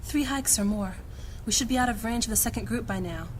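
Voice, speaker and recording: A woman's voice: fairly high pitch, brisk, a little hiss or hum, natural voice. A woman playing a hard-headed, determined captive, terse and resolute, in a fantasy audio drama.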